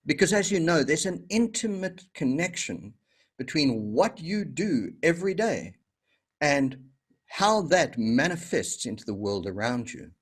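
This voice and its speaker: A man hosting an online speakers meeting, introducing each guest in a measured, welcoming way. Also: fairly high pitch, big pitch swings, husky. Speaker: host. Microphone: video call.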